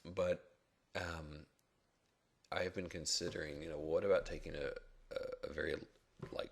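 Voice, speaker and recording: A man with an extremely low voice, full of creak and still rough from early morning. He talks casually through market charts as a blogger would, pausing and reflecting as he thinks aloud. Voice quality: rough, gravelly, husky. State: rough early-morning voice, not yet warmed up. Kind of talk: casual unscripted market commentary over charts.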